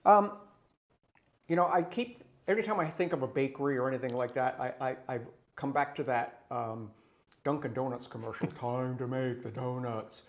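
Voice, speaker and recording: A man from the US Upper East Coast hosting a casual interview show, chatty and quick to laugh. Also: medium pitch. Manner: chatty, laughs easily, restarts often. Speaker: talk show host.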